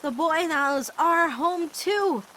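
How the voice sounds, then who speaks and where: A woman voicing an anguished, devoted teenage girl in an emotional cartoon fan dub, with dramatic, halting, pleading delivery. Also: sits high, expressive, bright, forceful tone, a little hiss or hum, full character voice. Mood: earnest, insistent. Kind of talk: emotional animated-series fan comic dub.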